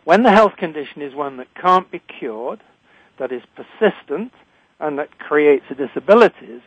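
An older British man speaks over a narrow, phone-like connection in a slightly high voice. He sounds like a formal radio host, phrasing his introductions and questions deliberately. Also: no echo. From Britain. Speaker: radio show host, retired physician. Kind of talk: scripted radio introduction and interviewing.

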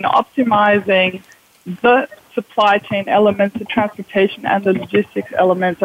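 Guy on a radio show, high voice, dull muffled line, lots of ums. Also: slight background noise. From Germany. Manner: explanatory, with frequent ums. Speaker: guest, logistics consultant. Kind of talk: radio interview answers.

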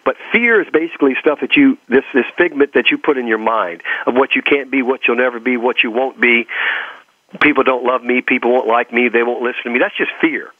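A man's voice: mid-range voice, fairly quick, no echo, phone-quality sound. A man with a Texas accent giving motivational talk and personal stories as an interview guest, emphatic and given to repeating phrases for effect. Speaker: motivational speaker and coach being interviewed.

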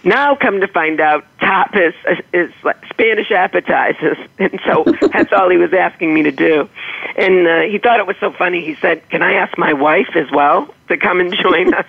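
Boston-accented woman guest, animated anecdote teller, tinny phone-line sound, laughs often. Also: lowish voice, moderate pace, vocal fry, husky. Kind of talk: storytelling anecdote on a radio show. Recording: phone-line call-in audio.

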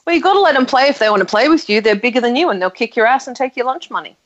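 A woman's voice: a little high, lively intonation, rapid-fire, muffled recording. A woman with an Australian accent hosting a radio talk show, chatty and upbeat, laughing easily. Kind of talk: casual radio chat.

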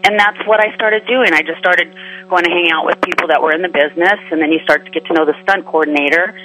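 A woman stunt performer chats as a radio guest in a bright, sharp voice. She is upbeat and breezy and laughs easily as she tells her story. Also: on the low side, fast, soft background music, low-quality recording. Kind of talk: casual radio interview.

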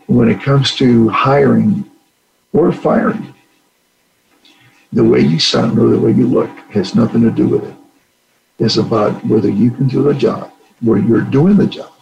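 Older guy from puerto rico, gravelly voice, earnest storyteller, bit of hiss behind. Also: medium pitch, a little slow, pauses often, lo-fi sound. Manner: earnest, animated when acting out dialogue. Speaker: retired general, guest. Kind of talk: storytelling interview answers.

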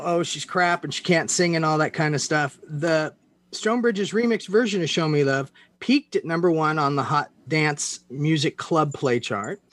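Halting man with a dry, close sound. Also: fairly high pitch, a bit bright, no echo. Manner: halting, peppered with "you know". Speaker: interviewer, host. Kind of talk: casual conversational interviewing.

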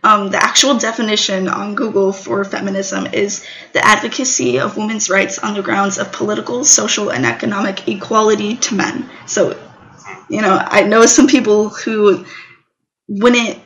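A young woman chats casually as a show host. Her voice is raspy and worn from a sore throat, and she speaks haltingly, searching for words. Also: medium pitch, fairly bright tone, highs cut off. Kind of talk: casual opinion talk.

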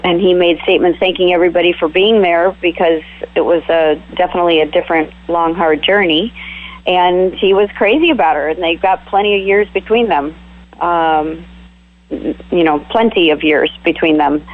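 A man's voice: sits high, fairly even intonation, moderate pace. A man on a talk radio show, chatting in a gossipy way, hedging his claims and trailing off. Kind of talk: casual talk radio discussion. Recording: phone line on radio.

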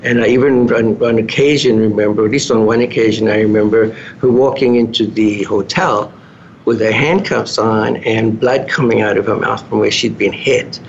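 A middle-aged man from South Africa with a South African accent, answering interview questions in a measured, reflective way with some hesitations. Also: fairly even intonation, gravelly. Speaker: guest, business coach. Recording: dull lo-fi sound with faint rumble.

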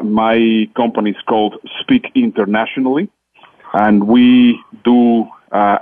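A man from Mexico, a Spanish speaker talking in English with a Mexican accent, a radio guest who talks with many pauses and fillers. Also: on the low side. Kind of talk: radio interview. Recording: tinny phone line.

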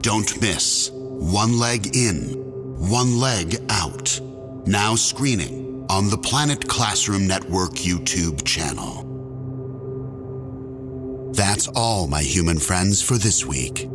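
A man hosting a show, reading a scripted sign-off in a slow, measured announcer style. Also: sits low, animated. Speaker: show host. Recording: music playing underneath.

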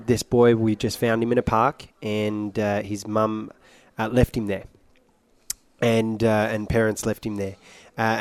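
A young man with a British-sounding accent, telling his story casually and haltingly as a guest, with some joking. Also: fairly low pitch, no room sound. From Iraq, later Australia. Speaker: interview guest, singer. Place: at a conference.

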